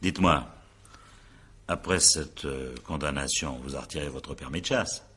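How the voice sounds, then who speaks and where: An actor playing a sceptical examining magistrate in a radio drama, questioning formally and insistently with pointed pauses. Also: male voice, extremely low pitch, a bit bright, breathy edge, heavily acted. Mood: dry questioning.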